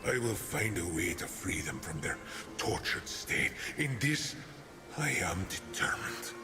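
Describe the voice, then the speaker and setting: A haunted sea captain's spirit in a video game, proud and full of remorse. The delivery is slow, solemn and breathy, in a lowish voice, with music clearly underneath. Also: male voice, big pitch swings. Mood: determined, bitter. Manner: solemn, dramatic, breathy lament.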